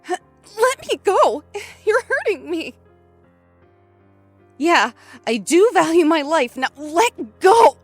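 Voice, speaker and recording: A woman's voice: extremely high pitch, very animated, takes it slowly, pauses often, exaggerated voice. An actress playing a frightened but defiant trapped woman in a first-person thriller audio drama, with dramatic, suspenseful delivery. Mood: panicked, defiant. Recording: music under the voice.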